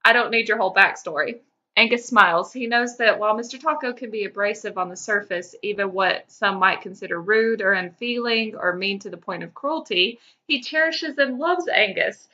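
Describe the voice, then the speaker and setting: A woman narrates a story as a podcast host. Her voice is mid-pitched and very bright, expressive, though the recording is slightly dull and lo-fi. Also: normal speed, few pauses. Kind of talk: expressive fan fiction narration.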